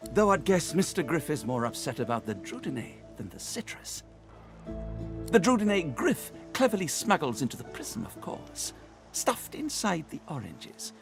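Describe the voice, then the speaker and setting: A man voicing a desperate, groggy lizard dreamer in a video game, pleading in halting, broken phrases. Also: medium pitch. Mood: nervous, defensive. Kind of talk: dramatic video game voice acting.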